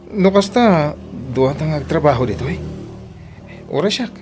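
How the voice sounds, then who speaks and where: Music plays clearly behind a man acting a young bachelor driver in a radio drama. He comes across as puzzled and reluctant. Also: a little high, animated, moderate pace, lightly acted.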